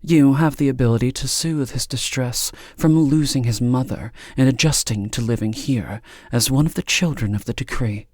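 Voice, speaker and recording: A stern woman of authority in an audiobook , acted out by a male narrator. The voice is pitched up and close in a dry recording, with a cold, clipped delivery that is impatient and condescending. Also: moderate pace, few pauses, a little mellow, dry recording, natural voice. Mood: cold, commanding.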